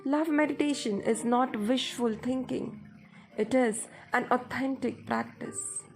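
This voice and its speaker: A woman narrating a guided meditation in a measured, instructive manner. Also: high voice. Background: music.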